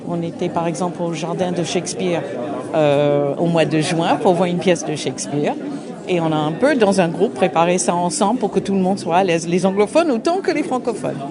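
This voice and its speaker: A middle-aged woman, an English speaker, answering interview questions at an open day, halting and searching for words with frequent restarts. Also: fairly low pitch. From United States. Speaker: association representative. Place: busy open-day event. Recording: crowd noise behind. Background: crowd chatter.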